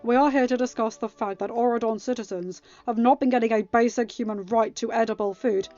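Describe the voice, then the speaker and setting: A woman's voice: fairly high pitch, steady tone, mellow, lo-fi sound, soft background music, natural voice. A woman voicing an earnest young king who speaks formally and diplomatically in a storybook reading. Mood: firm. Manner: formal, measured.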